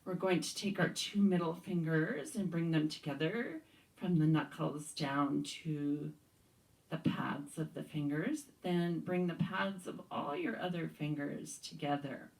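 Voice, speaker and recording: A soft-spoken woman leading a gentle yoga practice, guiding breaths calmly and sometimes dropping to a whisper. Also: sits low, gravelly, recorded from a distance, low-quality recording. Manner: slow, calm guiding with whispered cues. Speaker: yoga teacher. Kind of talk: guided yoga instruction. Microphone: handheld or clip mic.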